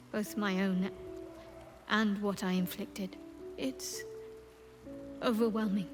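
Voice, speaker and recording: An actress playing a wounded but defiant young former cultist in a video game, guarded yet confiding. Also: female voice, medium pitch, natural voice. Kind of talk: video game dialogue acting.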